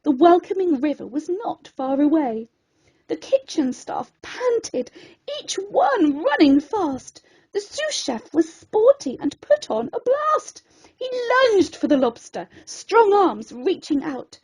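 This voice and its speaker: Woman storyteller, high pitch, slow pace, expressive rhyming narration, theatrical, deliberate. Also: low-quality recording.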